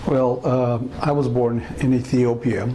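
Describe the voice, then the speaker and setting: An older man from Eritrea, telling his life story in an interview, pausing often with fillers and restarts. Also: mid-range voice, fairly even intonation, mellow, slight background noise, poor audio. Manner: halting, many fillers and restarts. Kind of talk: casual interview testimony.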